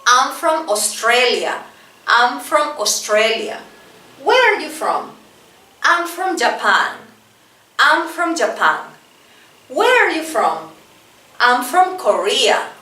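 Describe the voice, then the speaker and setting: A woman's voice: high-pitched, sharp tone. A woman teacher leading an English lesson, patiently drilling phrases and often checking in with "right?". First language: Spanish. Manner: slow, patient drilling with frequent "right?" tags. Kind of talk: classroom-style English lesson with repetition drills. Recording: roomy, distant from the mic.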